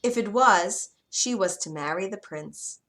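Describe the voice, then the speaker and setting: Bright-voiced woman storyteller. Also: medium pitch, lively intonation, measured pace. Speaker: children's storyteller. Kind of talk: scripted bedtime fairy-tale narration. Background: music.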